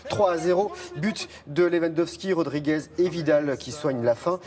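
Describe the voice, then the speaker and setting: A man's voice: fairly high pitch, moderate pace, a little mellow. A man presenting sports results on morning TV, stumbling over names and joking about it.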